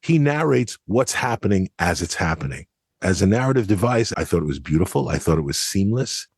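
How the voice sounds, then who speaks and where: A man's voice: low-pitched, big pitch swings, a little fast, darkish tone, slightly rough, no room sound, studio quality. A man co-hosting a podcast, giving a casual but articulate review with emphatic, explanatory phrasing. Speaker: podcast co-host.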